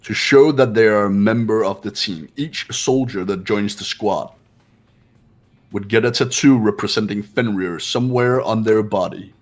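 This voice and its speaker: A man's voice: fairly low pitch, normal speed, lightly acted. A man playing a haughty, cool-headed young man with a curt, condescending manner in a fan-dubbed game. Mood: composed. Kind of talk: fan-dubbed visual novel game acting.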